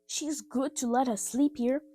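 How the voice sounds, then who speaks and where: A woman voicing a caring, polite, gently bossy orphan girl, an older sister, in a storybook reading. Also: high voice, steady tone, moderate pace, soft background music, voice pushed up. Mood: hushing.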